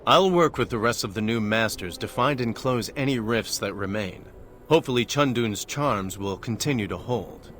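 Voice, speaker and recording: An actor playing an earnest, level-headed martial arts master in a fantasy video game, talking in a thoughtful, conversational way. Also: male voice, fairly low pitch, moderate pace, fairly bright tone, lightly acted. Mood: supportive, resolute. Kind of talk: scripted fantasy game dialogue.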